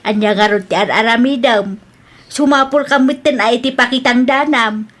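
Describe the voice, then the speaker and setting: High-voiced nagging aunt played by a woman. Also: normal speed, fairly bright tone. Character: nagging, judgmental middle-aged aunt. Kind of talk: Ilocano audio drama acting.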